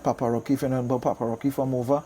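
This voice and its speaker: A man's voice: mid-range voice, flat delivery, very fast, warm tone, a little hiss or hum. A middle-aged man with a Trinidadian accent, reminiscing casually as an interview guest and repeating phrases for emphasis. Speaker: guest, DJ and soca singer.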